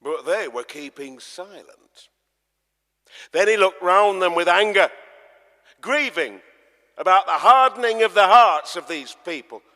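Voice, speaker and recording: A man narrating scripture dramatically, like a theatrical storyteller, with emphatic swells and hushes. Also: high voice, animated, measured pace, pauses often. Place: large church, live performance. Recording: echoey church, long reverb.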